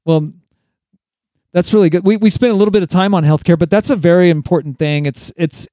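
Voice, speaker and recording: A man hosts a laid-back podcast conversation, circling his points with restarts and little laughs. His voice sits slightly high but has a warm, relaxed tone. The sound is close and dry with no room around it. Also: brisk, no echo. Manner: rambling, with many restarts and chuckles. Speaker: podcast host. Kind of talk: casual interview chat.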